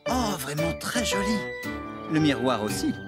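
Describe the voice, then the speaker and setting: Animated, good-natured cartoon farmhand guy. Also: fairly high pitch, a little slow. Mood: chatty. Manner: animated, exclaiming. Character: good-natured, slightly sceptical male farmhand. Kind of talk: French-dubbed preschool cartoon acting. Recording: music behind the voice.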